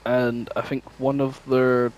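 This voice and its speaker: A man's voice: medium pitch, flat delivery, a little slow, slight background noise, low-quality recording. A man co-hosting a podcast, chatting casually and informally. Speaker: podcast co-host.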